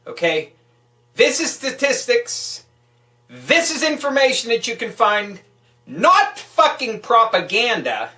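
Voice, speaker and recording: A man's voice: high-pitched, sharp tone. A man hosting his own online show, speaking in an emphatic, profane way. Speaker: online radio show host. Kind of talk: political rant and show announcements. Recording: roomy echo, distant from the mic.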